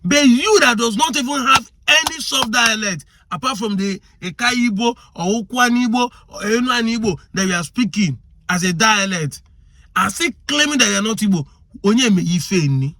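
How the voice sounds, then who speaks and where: A man's voice: extremely high pitch, expressive, normal speed, pushed and bright, no echo, clean studio sound. A man with a Nigerian accent giving impassioned commentary, arguing hard and firing off rhetorical questions. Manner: argumentative, fires rhetorical questions.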